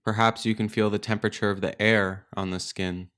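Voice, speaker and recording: Smooth, low-voiced man guiding meditation. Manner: calm, gentle, unhurried phrasing with pauses. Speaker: meditation teacher and podcast host. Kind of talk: guided meditation, slow and instructional.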